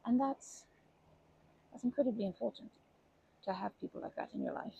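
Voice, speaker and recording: A woman playing a kindly, protective grandma in an ASMR comfort roleplay, speaking gently and hesitantly with fond pet names. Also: on the high side, fairly quick, lo-fi sound, full character voice. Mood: soothing reassurance. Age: older. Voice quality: creaky, husky.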